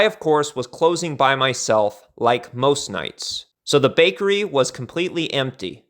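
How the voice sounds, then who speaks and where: Higher-pitched man telling a story. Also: fairly bright tone. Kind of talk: scripted personal storytelling narration.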